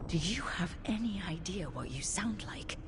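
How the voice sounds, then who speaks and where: Low-voiced, wry adventuring leader. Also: female voice, expressive, moderate pace, creaky, noisy background. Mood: accusing. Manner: direct, wry, down-to-earth. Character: resolute female adventuring leader, wry and plain-spoken. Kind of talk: video game cinematic acting.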